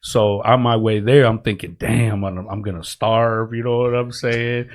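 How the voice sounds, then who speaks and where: A man hosting a casual podcast, talking in a relaxed, slangy way and often checking in with "you know what I'm saying". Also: fairly low pitch, normal speed. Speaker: podcast host.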